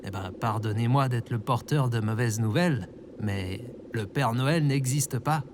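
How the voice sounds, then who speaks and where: A man's voice: medium pitch, measured pace, background noise, lightly acted. A man playing a skeptical, exasperated everyman in an audio comedy, with a dry manner. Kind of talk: audio fiction comedy acting.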